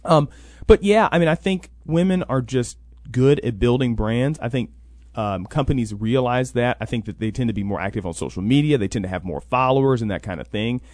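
A man's voice: medium pitch, expressive, brisk, a little mellow, no echo, studio quality. A man answering interview questions as a program coordinator, explaining conversationally and often acting out what others would say. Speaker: interview guest, college NIL program coordinator. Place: radio sports talk show.

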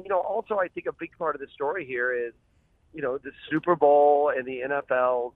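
Tinny phone line, male guest, chatty and emphatic, rambling casual delivery, mid-range pitch, dry. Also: no room sound. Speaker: sports columnist, show guest. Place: calling from a car.

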